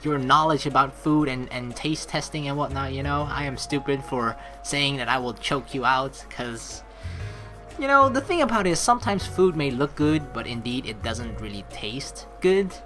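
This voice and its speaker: A woman's voice: extremely low pitch, animated, normal speed, a bit bright. A woman hosting a game playthrough, reading narration aloud and adding playful, chatty commentary over music. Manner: playful, chatty, reacts to the story. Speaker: let's play host.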